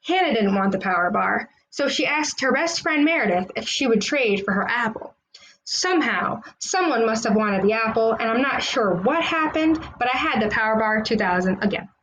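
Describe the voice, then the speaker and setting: A woman's voice: fairly high pitch, fairly bright tone, a little hiss or hum, rough recording. A woman reading a children's storybook aloud, narrating expressively in the words of a school kid. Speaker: children's read-aloud host.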